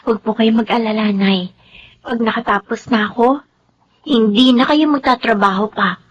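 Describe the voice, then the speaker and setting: Devoted young daughter, earnest, respectful, breathy and slightly higher voice. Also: female voice, moderate pace, dull audio. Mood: reassuring. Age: young adult. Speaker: radio drama actor.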